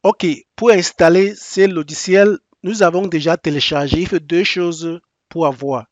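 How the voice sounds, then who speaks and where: Man teaching software in halting French. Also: sits high, steady tone, no echo, lo-fi sound. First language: English. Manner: step-by-step, repetitive instructions; halting non-native French with frequent restarts. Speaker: software tutorial presenter. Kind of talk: screen-recorded software tutorial.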